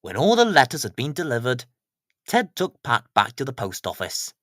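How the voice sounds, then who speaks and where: A man's voice: medium pitch, wildly swooping pitch, normal speed, fairly bright tone, no room sound, clean studio sound. A man narrating a children's story from a script.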